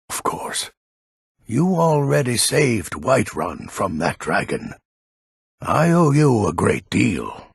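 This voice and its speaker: A gruff, grumpy old man of authority in a fantasy video game. His voice is extremely hoarse and thick with gravel. He speaks bluntly and weightily, in unhurried phrases with an old-world turn. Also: mid-range voice, no room sound, studio quality, exaggerated voice. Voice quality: raspy, gravelly.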